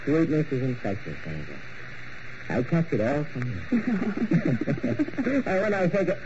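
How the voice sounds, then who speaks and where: A man playing an unhinged young male ballet dancer in a 1940s radio drama, speaking softly and dreamily. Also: on the high side, sing-song, takes it slowly. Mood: tender. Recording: muffled old radio broadcast with hiss.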